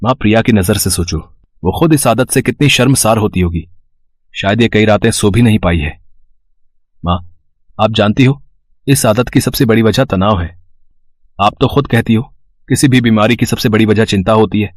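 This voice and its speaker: Low-voiced, earnest young husband acted by a man. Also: moderate pace, pauses often, no room sound, clean studio sound, natural voice. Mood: earnest, pleading. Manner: earnest, persuasive, gentle. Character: caring young husband and son, calm, principled. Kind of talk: animated moral story voice acting.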